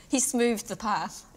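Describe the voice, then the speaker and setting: A woman's voice: a little high, a little hiss or hum. A woman, chatting casually as a homeowner being interviewed. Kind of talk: casual chat. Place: large timber barn interior.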